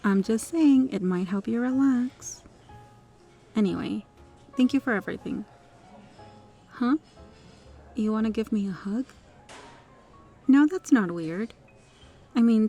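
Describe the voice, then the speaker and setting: A woman plays a kind, caring restaurant customer in an ASMR roleplay. Her voice is mellow and mid-pitched, with a soothing, reassuring manner over faint music. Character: kind female restaurant regular, gentle, caring stranger.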